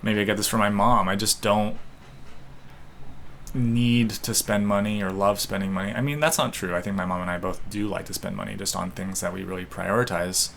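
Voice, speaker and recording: Young guy rambling through a quiz, lowish voice with some fry, lots of ums and restarts. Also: steady tone, fairly quick, fairly bright tone, slight background noise. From United States. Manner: hesitant, rambling, many restarts and fillers. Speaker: podcaster. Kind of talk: casual solo talk while taking an online quiz.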